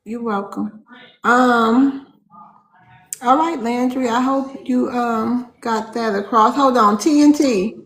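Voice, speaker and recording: A woman hosting live callers, brisk and quick to cut in to keep order. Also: on the high side, low-quality recording. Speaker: host of a call-in stream.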